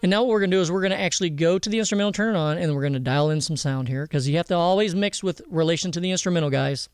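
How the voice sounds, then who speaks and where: A man giving a casual software tutorial, explaining informally and directly to viewers. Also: fairly high pitch, rapid-fire, clean tone, dry recording, studio quality, faint music behind. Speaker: audio mixing instructor. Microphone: close voice-over mic over screen recording.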